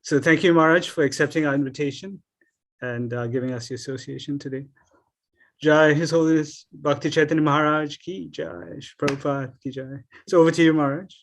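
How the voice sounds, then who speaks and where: A man's voice: a little high, steady tone, a little fast. A man hosting an online class, courteously introducing the visiting speaker and handing over to him. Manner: courteous, ceremonial. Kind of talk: formal introduction.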